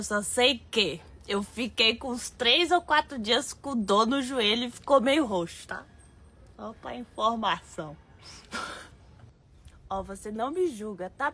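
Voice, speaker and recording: Woman in her 30s, really high bright voice with gravel, playful, faint hiss. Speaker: reality show participant. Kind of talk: casual social media video.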